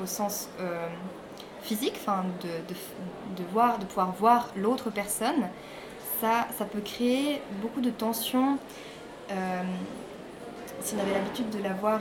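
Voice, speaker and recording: A woman answering an interview question spontaneously, hesitant with many pauses. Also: medium pitch. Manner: hesitant, many fillers and restarts. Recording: noisy background.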